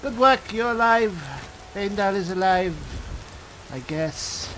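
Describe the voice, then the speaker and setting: A man roleplaying a puzzled high elf spellsword in a video game, speaking in a hesitant, exclamatory way. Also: takes it slowly, pushed and bright, slight background noise, exaggerated voice, poor audio. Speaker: let's play roleplayer. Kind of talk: in-character game roleplay commentary.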